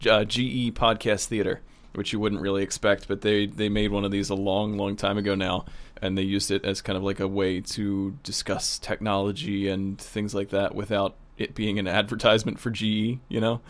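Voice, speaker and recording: A man's voice: fairly low pitch, little pitch movement, normal speed, hardly any pauses. A man talking casually on a podcast as a producer and co-host. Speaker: audio drama producer, co-host.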